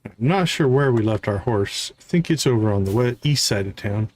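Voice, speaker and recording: A man's voice: medium pitch, expressive, moderate pace, darkish tone, a little hiss or hum. A man doing casual live gameplay commentary, thinking aloud to himself and his viewers as a streamer. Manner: musing, talks to viewers and self. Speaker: video game livestreamer.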